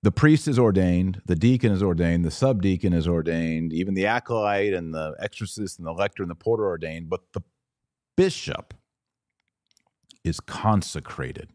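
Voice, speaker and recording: Male podcast host, low-pitched and dry close sound, didactic, informal teaching delivery for viewer questions. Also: moderate pace, a little mellow, dry recording. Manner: didactic, explanatory, asks and answers own questions. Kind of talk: live Q&A, informal religious teaching.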